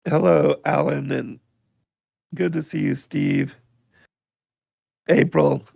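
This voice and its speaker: Hoarse, gravelly man hosting a livestream. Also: fairly high pitch, steady tone, darkish tone, no room sound, dull audio. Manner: slow, halting, with many pauses and fillers. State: hoarse, voice going out. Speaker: radio show host. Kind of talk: livestream radio talk, reading chat.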